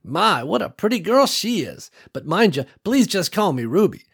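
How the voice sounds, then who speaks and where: A man voicing a warm, folksy old country woman who welcomes visitors to her farm. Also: high voice, big pitch swings, normal speed, slightly rough, voice pushed up, full character voice.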